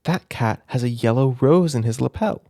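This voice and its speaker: A man voicing a brisk, sensible female bunny detective in a children's storybook, with matter-of-fact delivery. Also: mid-range voice, lively intonation, creaky, warm tone, dry recording, clean studio sound. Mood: brisk, focused.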